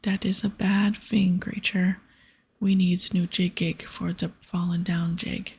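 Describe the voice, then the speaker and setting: Woman whispering as a breathy, blunt, simple ogre. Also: lowish voice, level tone, slow, a little mellow, full character voice. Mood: disappointed. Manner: blunt broken speech. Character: gruff simple-minded ogre with broken grammar.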